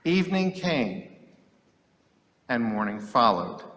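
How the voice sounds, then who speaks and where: A man reading scripture solemnly and dramatically, with deliberate, declamatory phrasing and long pauses. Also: medium pitch, big pitch swings, a bit bright. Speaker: scripture reader. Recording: echoey hall with long reverb.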